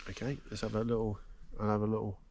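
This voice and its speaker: A man's voice: lowish voice, completely flat delivery, fast talker, creaky, a little mellow. An English man with a blocked nose from hay fever, co-hosting a camping vlog outdoors and searching hesitantly for words. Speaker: vlog co-host. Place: outdoors in woods at a campsite.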